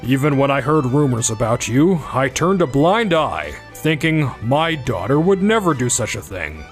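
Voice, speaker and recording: An actor playing a grave, middle-aged company president and father in a manga dub, formal and measured. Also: mid-range voice, very animated, soft background music, natural voice. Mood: regretful.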